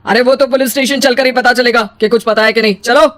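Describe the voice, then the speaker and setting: A woman's voice: a little high, fairly even intonation, fast, close to an everyday voice. A woman voicing a brusque, commanding policeman in a cartoon story. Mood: brusque, stern.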